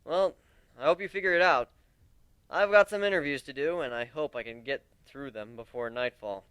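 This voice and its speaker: A man's voice: mid-range voice, normal speed, a bit bright, clear, resonant tone, dry recording, natural voice. A man voicing a hot-headed, sulky young griffin in a dramatic story reading, sarcastic and informal. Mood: brash, animated. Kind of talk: dramatic reading of fan fiction.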